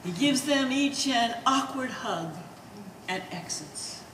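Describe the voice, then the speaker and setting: A woman's voice: fairly high pitch, lively intonation, slow, a bit bright. A woman reading stage directions as scripted narration for a live play. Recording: distant in echoey gallery, faint hiss.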